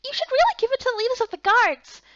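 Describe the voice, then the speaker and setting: A woman voicing a sweet, excitable young boy as a character in a visual novel. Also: extremely high pitch, fast talker, no room sound, lo-fi sound, pitched above the performer's own voice. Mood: eager, cheerful.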